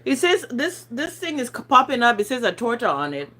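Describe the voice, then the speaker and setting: A woman's voice: a little high, big pitch swings, brisk, bright, forceful tone. A woman in her thirties with a non-native accent, chatting casually on a phone livestream, rambling, laughing and stumbling over words. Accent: non-native English accent. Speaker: lifestyle channel host chatting on a livestream. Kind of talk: casual live chat reading viewer comments.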